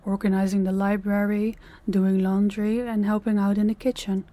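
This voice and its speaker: A woman playing a stern, condescending female mafia boss in an intimate audio roleplay, giving measured orders directly to the listener. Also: medium pitch, mellow, dry recording, lightly acted. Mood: stern, commanding.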